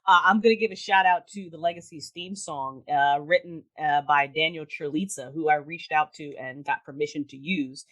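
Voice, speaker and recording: Animated woman chatting casually in an interview. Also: low voice, moderate pace, hardly any pauses. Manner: animated, emphatic, informal. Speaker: podcast guest, audio drama creator.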